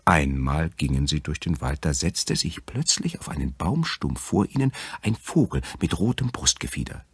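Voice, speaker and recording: A man with a husky voice narrating a scripted fairy tale as an audiobook storyteller. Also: very deep voice, very animated, moderate pace, no room sound.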